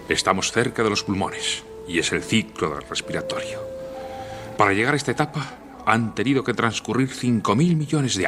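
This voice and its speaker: An actor playing a sober, learned male surgeon with a measured, didactic manner in a radio play. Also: lowish voice, background noise. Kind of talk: radio drama acting.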